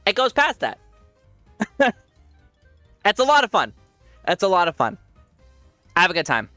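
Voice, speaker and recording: Congested, bright-voiced male streamer. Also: high voice, wildly swooping pitch, a little slow, no room sound, soft background music. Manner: rambling, self-correcting, laughs often. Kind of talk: casual gameplay commentary.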